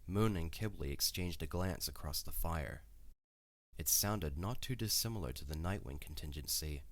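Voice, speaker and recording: A man's voice: sits low, normal speed, no room sound. A man reading scripted narration for an audio drama.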